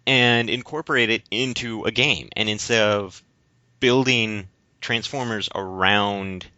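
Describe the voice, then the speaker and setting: Male podcast co-host, casual and rambling with halting explanations. Dry but dull, lo-fi recording. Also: lowish voice, sharp tone, dry recording. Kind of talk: casual discussion of games.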